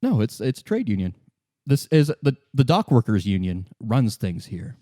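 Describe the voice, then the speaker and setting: A man's voice: mid-range voice, big pitch swings, moderate pace, warm tone, no echo, clean studio sound. A man running a tabletop game, improvising narration and explaining things informally. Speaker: game master.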